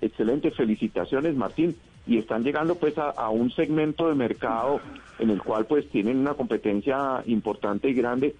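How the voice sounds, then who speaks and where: A man hosting a radio talk show, speaking haltingly, repeating words, thanking and praising his guest. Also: mid-range voice, a little mellow, highs cut off, faint music behind.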